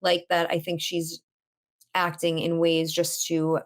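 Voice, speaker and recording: A woman's voice: fairly low pitch, takes it slowly. A woman with a New York accent, chatting emphatically as a guest on a podcast over a video call. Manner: chatty, emphatic, animated storyteller. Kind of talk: casual podcast chat.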